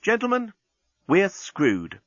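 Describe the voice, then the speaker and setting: A man voicing a brisk, clever woman who explains things in a matter-of-fact way. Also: high-pitched, huge pitch swings, fairly bright tone, dry recording, dull audio, voice pushed up, exaggerated voice. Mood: decisive.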